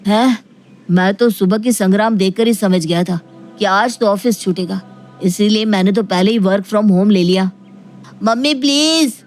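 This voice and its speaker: A woman's voice: medium pitch, unhurried, a little mellow, faint music behind, lightly acted. A woman playing an exasperated grown son in a family audio story, pleading and sarcastic. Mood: sarcastic, weary. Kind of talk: Hindi audio story acting.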